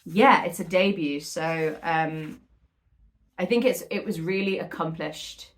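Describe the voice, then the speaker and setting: A woman chatting casually as a book vlogger, informal and a little rambling, often pausing and correcting herself as she goes. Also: fairly low pitch. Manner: informal, hesitant, self-correcting, rambling storytelling. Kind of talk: casual chatty book vlog, reviewing reading.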